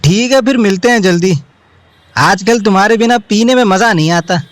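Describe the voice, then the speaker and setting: An actor playing a joking, easygoing young friend in an audio drama. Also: male voice, sits high, normal speed, no echo, lightly acted. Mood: teasing. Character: young man Bittu, joking drinking buddy. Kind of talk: Hindi audio drama acting. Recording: soft music bed underneath.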